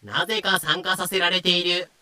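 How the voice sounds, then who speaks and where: A woman's voice: fairly low pitch, steady tone, normal speed, sharp tone, smooth. A woman narrating a scripted story in an audio drama.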